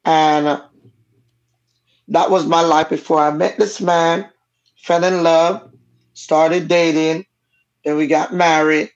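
A woman's voice: sits low, fairly even intonation, slow pace, long pauses. A Jamaican woman talks through her past in a frank, conversational way. She grew up speaking Jamaican Patois, and it shows. The audio is a bit dull and lo-fi. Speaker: guest. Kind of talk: personal storytelling in a casual interview.

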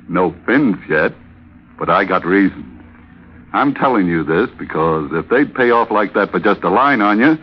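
An actor playing a breezy, sly, scheming sailor in old-time radio drama, cocky, insinuating and easygoing. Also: male voice, deep voice, husky, heavily acted. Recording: dull vintage radio recording.

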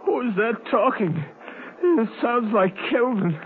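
A man's voice: extremely high pitch, expressive, measured pace. A man playing a weak, desperate, exhausted victim pleading in anguish in a 1930s radio drama. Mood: bewildered. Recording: noisy, tinny 1930s radio recording.

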